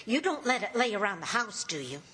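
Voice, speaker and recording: An actress playing a teasing, folksy, affectionate wife with a husky voice in old-time radio comedy. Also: female voice, medium pitch, sing-song, a little fast, sharp tone, exaggerated voice. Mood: cheerful, folksy.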